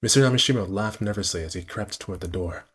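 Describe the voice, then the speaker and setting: A man's voice: on the low side, fast, fairly bright tone, husky, soft background music. A man reading a visual novel aloud on a stream with casual commentary, sometimes stumbling over words. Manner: stumbles over words and rereads phrases while narrating. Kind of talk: amateur read-aloud narration with casual commentary.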